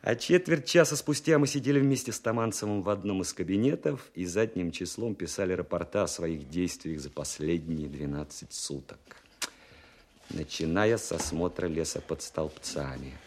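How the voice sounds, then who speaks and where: An actor playing a thoughtful army officer who narrates in the first person in a radio drama, in a measured storytelling manner. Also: male voice, low voice, a little mellow. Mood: reflective, admiring. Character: thoughtful army officer recounting his wartime memories.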